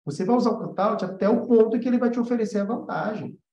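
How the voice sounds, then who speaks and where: Brazilian man, informal teacher, soft-edged mellow tone, emphatic repetition, frequent check-in tags, echoey room. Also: high voice, fast talker. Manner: conversational, emphatic, repeats key points, frequent check-in tags. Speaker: animation teacher hosting a live class. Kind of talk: informal live lesson, explaining and demonstrating. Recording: roomy echo, slightly dull sound.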